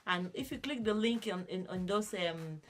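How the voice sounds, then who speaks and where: A woman's voice: fairly low pitch, lively intonation, moderate pace. A woman with a voice cracked from a cold, explaining a giveaway in a casual, hesitant way with many fillers. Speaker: beauty vlogger, host.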